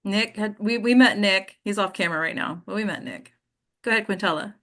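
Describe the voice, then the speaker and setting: A woman's voice: medium pitch, brisk, bright, forceful tone. A woman hosting an online table read over a video call, speaking casually and motivationally as she guides the group. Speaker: host and director of an online script table read.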